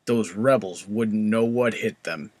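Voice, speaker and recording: A man's voice: on the low side, measured pace, darkish tone, no echo. A man reading scripted story narration as the narrator of an audiobook. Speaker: narrator, fan fiction reader. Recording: clearly noisy background ambience.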